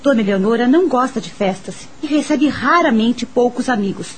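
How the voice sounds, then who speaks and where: An actress with a Brazilian accent playing a helpful, matter-of-fact mansion servant, speaking in a measured, explanatory way in a radio drama. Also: female voice, fairly high pitch, expressive, slight background noise, close to an everyday voice.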